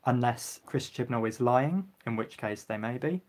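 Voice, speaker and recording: A young man chats casually on a live stream, reading comments aloud and answering each one. His voice sits at an ordinary pitch, and he keeps filling pauses with ums. His throat is getting sore, and now and then he clears it. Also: expressive. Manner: chatty, frequent ums, clears throat and coughs.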